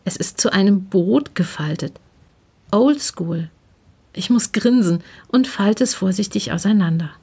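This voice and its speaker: Female storyteller, mid-pitched voice, scripted first-person narration of a short story. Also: lively intonation, a little slow, dark tone, slightly rough.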